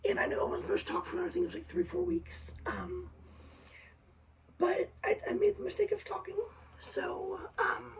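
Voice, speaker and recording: A young woman with a raspy, hoarse voice left by vocal surgery, talking haltingly in a casual vlog update with many pauses and restarts. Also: very high voice, little pitch movement. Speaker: vlogger. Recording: slightly distant mic with faint hiss.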